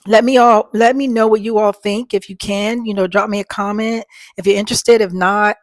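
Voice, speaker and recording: Fifty-something lady vlogging, soft tired voice, rambly and earnest, dry close little mic. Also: medium pitch, fairly even intonation, brisk, no room sound. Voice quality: soft voice that does not carry. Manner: rambling and earnest, addresses viewers as folks. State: voice worn out. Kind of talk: casual talk to viewers. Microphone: small microphone.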